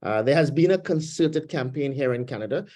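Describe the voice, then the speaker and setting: Man, Trinidadian accent, mellow warm tone, measured panel talk, frequent fillers, video call. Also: mid-range voice, lively intonation. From Trinidad and Tobago roots. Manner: measured, pauses with many fillers. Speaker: webinar panelist. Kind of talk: panel discussion, political commentary. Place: home, Canada.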